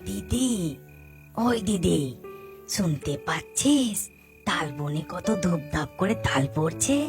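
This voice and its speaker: A woman's voice: fairly low pitch, lively intonation, slow pace, creaky, over music, full character voice. A woman voicing a bold little village brother in a children's cartoon, wheedling and persuasive. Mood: coaxing. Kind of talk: Bengali children's cartoon voice acting.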